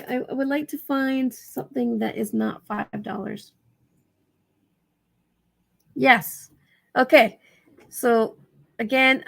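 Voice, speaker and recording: Raspy, higher-pitched woman thinking aloud. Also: a bit bright. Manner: rambling, thinking aloud, self-correcting. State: tired, dry-throated. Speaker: vlogger giving reselling tips. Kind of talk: casual screen walkthrough tutorial.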